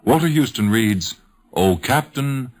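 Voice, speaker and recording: A man with an American accent hosting a radio program, reading scripted narration in a solemn, formal, measured 1950s broadcast style. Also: mid-range voice, animated.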